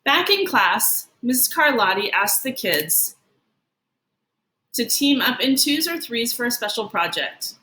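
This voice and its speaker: A woman reading a children's picture book aloud like a teacher, in an expressive, deliberate way. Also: fairly high pitch. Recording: roomy, echoing sound.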